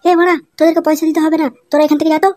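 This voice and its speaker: A man voicing a grumpy, excitable tea-stall owner in a comedy cartoon, with slangy speech and a pitched-up effect. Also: rapid-fire, slight background noise. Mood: irritated. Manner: scolding, wailing, slangy.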